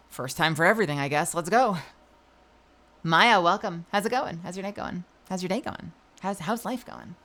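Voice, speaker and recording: A woman's voice: lowish voice, a bit bright. A woman with a nasal voice hosting her live stream, chatting casually and greeting viewers as she reads the chat. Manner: chatty and loose, frequent swearing and laughs. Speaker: livestream host.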